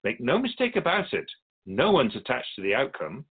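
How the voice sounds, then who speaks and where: A serene otherworldly keeper of souls, voiced by a man, speaks in a measured, philosophical, gently lecturing manner for a radio drama. His voice sits in a middle pitch. The sound is narrow and tinny, like a phone line. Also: huge pitch swings, husky, full character voice. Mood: calm, wise. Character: otherworldly male soul-realm keeper, calm and wise.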